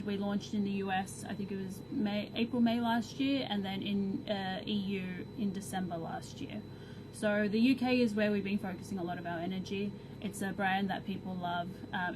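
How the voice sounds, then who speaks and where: A woman answering as an interview guest in a conversational, explanatory style about her work. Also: mid-range voice. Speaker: company head of growth, interview guest. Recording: clear background noise, room ambience.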